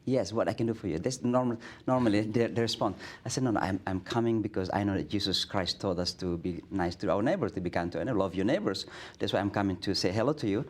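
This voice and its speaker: A man's voice: on the low side, fast, few pauses. A middle-aged man with an Indonesian accent, a guest imam telling stories in a studio interview, earnest, with many restarts and fillers.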